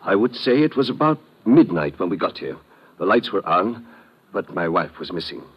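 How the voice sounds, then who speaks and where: An actor playing a polished, over-eager official under suspicion in an old-time radio drama, formal and courteous with protesting turns. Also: male voice, low voice, sing-song, moderate pace, breathy edge, exaggerated voice. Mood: solemn. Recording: muffled vintage radio recording.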